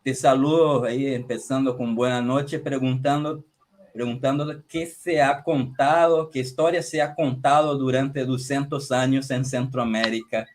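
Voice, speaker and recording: A man's voice: medium pitch, steady tone, normal speed, a little hiss or hum. A man hosting a radio interview, phrasing his introductions and questions in a wordy, searching way. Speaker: radio host.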